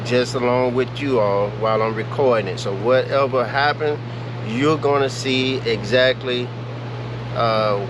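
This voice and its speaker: A man talks straight to camera in a loose, rambling vlog, with lots of ums and false starts. His voice sits at an ordinary middle pitch. A loud steady hum and traffic noise run underneath. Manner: rambling, many filler sounds and restarts. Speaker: vehicle DIY vlogger. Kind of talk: casual talk to camera. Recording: noisy, with steady hum behind.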